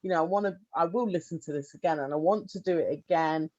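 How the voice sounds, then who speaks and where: A woman hosting an interview, talking in an animated, rambling way with many restarts. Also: fairly low pitch, fast talker, no echo, lo-fi sound. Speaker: podcast host. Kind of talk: casual interview chat.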